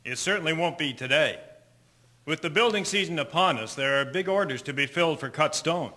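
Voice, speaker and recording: A man playing a calm, kindly husband and father in a 1920s audio drama. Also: fairly high pitch, fairly quick. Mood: reassuring. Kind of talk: scripted audio drama acting.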